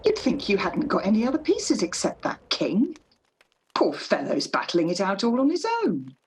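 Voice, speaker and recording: A woman playing a practical older working-class mother in a radio play, fussing and motherly, gently chiding. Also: mid-range voice, huge pitch swings, moderate pace, breathy edge, full character voice. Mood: teasing, soothing.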